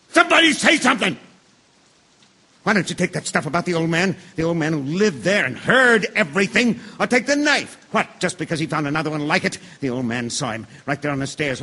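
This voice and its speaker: An actor playing an angry, stubborn juror and bitter father in a radio drama, combative and emphatic, with a husky voice. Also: sits high, pushed and bright, full character voice. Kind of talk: stage-style radio drama acting.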